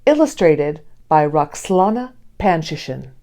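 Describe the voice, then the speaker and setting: A woman reading a children's picture book aloud expressively, with deliberate storyteller phrasing. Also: sits low, very animated, warm tone. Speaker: read-aloud host.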